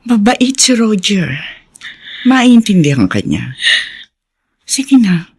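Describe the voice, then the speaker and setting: Husky, insistent older sister. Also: female voice, mid-range voice, big pitch swings, slow pace, darkish tone, heavily acted. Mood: firm, urging. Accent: Filipino. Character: caring, insistent older sister, pleading and firm. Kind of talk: Filipino radio drama acting.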